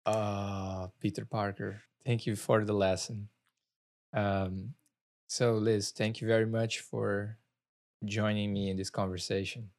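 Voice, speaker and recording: Brazilian guy hosting a podcast, kinda gravelly, curious questions with restarts. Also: lowish voice, flat delivery, slow, darkish tone. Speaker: podcast host. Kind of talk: casual interview.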